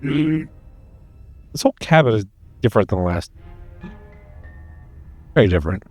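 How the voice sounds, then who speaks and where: A man's voice: lowish voice, animated, unhurried, darkish tone. A man reading game dialogue aloud in character during a playthrough. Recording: dry, faint music behind.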